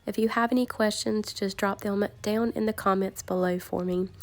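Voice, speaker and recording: Lady talking thru a craft tutorial, raspy hoarse voice from a cold, friendly and step by step. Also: mid-range voice, fairly even intonation, normal speed. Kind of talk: tutorial voice-over narration.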